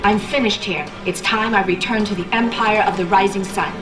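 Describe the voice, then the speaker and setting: A woman's voice: mid-range voice, steady tone, a little fast, close to an everyday voice. A woman voicing a vengeful young psychic woman in a video game, cold, menacing and sardonic, in a roomy, distant recording. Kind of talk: video game character voice acting. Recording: roomy, distant, music underneath.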